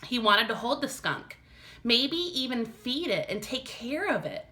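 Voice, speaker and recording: Female teacher as storybook narrator: bright, expressive, carefully phrased for children. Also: on the high side, measured pace. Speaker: elementary teacher reading aloud.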